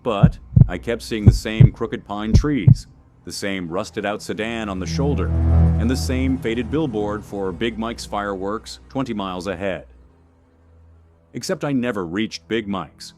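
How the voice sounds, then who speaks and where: Very deep male horror story narrator. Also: unhurried, music in the background. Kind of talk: scripted first-person horror story narration.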